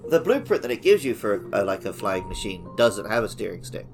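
A man with a fairly low, creaky voice chats as a podcast co-host. He talks casually about a video game, with some music behind him. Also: very animated, a little fast.

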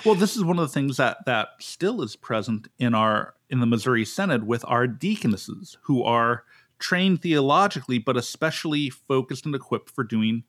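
A pastor from the States talks through a Bible passage on his podcast, easygoing and animated. His voice sits at an ordinary middle pitch, but he keeps jumping higher for emphasis and tripping over restarts as he thinks aloud. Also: moderate pace. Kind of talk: casual Bible study chat.

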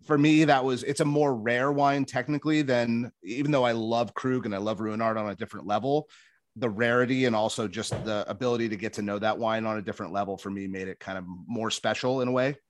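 A man's voice: mid-range voice, steady tone, fast talker, no echo. Joking man in dry sound. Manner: joking, self-mocking. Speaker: competition contestant. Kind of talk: casual chat.

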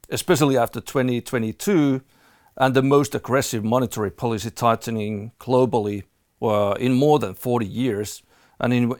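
A middle-aged man from Finland with a Finnish accent, speaking as a podcast guest, halting with many fillers. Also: mid-range voice, no echo, studio quality. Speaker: guest, fund manager. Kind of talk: podcast interview.

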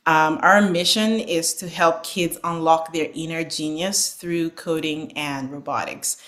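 Woman, 30s, from Nigeria; lowish voice; reflective interview guest, ums and restarts. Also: steady tone, a little slow, fairly bright tone. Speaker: guest, franchise owner. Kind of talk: interview storytelling.

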